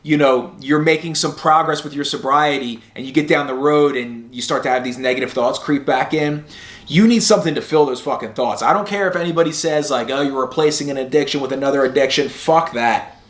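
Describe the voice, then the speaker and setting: A man's voice: medium pitch, fairly quick. A man hosting a motivational vlog, talking casually in a rambling, blunt, informal way and swearing freely. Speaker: host of a sobriety motivation video series. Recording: roomy, echoey sound.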